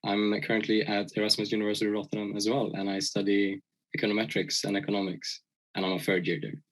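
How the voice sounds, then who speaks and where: A young man from Sweden, a third-year student, introducing himself on an online panel in a relaxed, slightly halting way. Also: lowish voice. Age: young adult.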